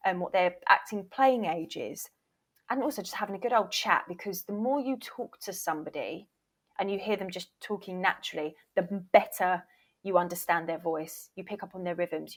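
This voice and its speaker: A woman's voice: fairly low pitch, a bit bright. A woman talking casually as an interview guest, explaining things in a candid, emphatic way and sometimes interrupting herself. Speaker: voice reel producer, interview guest.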